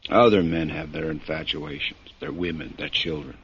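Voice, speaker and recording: A man's voice: a bass voice, steady tone, normal speed, a bit bright, breathy edge, heavily acted. A man playing a weary lord who crusades for justice in a radio drama, impassioned and bitterly sardonic, on a dull vintage recording. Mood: despairing. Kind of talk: golden-age radio drama acting.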